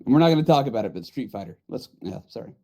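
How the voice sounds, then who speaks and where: A man's voice: mid-range voice, sing-song, racing, warm tone. A man chatting casually and informally on a podcast panel. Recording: dull, muffled audio.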